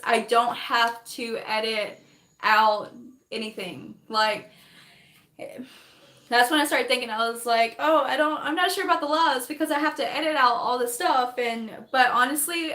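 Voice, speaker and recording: A young woman, chatting on a phone livestream while doing her makeup, rambling fast, laughing often and losing her voice. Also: a little high, sharp tone, echoey room. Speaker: livestreaming vlogger.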